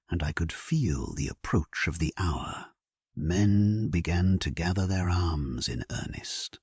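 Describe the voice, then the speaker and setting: A man plays a grave warrior king telling his own story for a sleep audio drama. The voice is very low and husky, with breathy edges. He speaks slowly and solemnly, with dramatic rises and falls and steady resolve. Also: dry recording, clean studio sound, exaggerated voice. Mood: grave, resolute. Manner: solemn, measured, dramatic delivery. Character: grave, resolute English king at war, narrating his memoir. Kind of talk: scripted first-person sleep-story narration.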